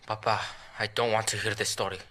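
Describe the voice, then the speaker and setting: A sullen teenage son in a game drama. The voice sits fairly low with a tense, bright edge. He speaks tersely and reluctantly. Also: level tone, brisk. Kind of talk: cinematic video game acting.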